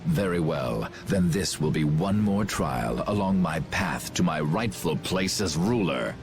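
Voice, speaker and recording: A cold, pompous would-be ruler in a game cutscene. His voice sits very deep and moves in measured, formal phrases that swing widely for theatrical weight. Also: lively intonation, over music. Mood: cold, imperious. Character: grandiose male villain commander, cold would-be ruler. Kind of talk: video game cutscene acting.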